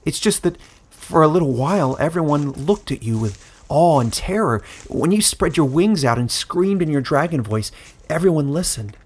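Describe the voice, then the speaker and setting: A man's voice: fairly high pitch, lively intonation, normal speed, breathy edge, pitched above the performer's own voice. A man voicing a young exiled village boy who asks earnest, eager questions. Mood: wistful.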